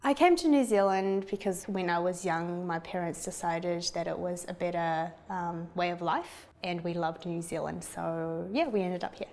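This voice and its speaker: Low-voiced young woman from China. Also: moderate pace, few pauses, a little mellow. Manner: chatty, laughs while telling stories. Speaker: interviewee. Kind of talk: documentary interview.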